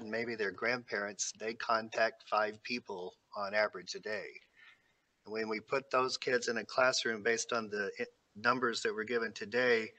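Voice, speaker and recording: Earnest man with a bright voice. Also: mid-range voice, low-quality recording. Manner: earnest, reasoned, cites figures. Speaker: school board member. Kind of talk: remarks at a board meeting on video call. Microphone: video call.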